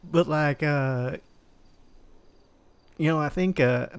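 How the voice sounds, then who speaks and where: A man's voice: a little high, takes it slowly, smooth, no room sound. A man in his twenties chatting casually in character during a roleplay, rambling with lots of restarts. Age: 20s. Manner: rambling, self-interrupting storyteller.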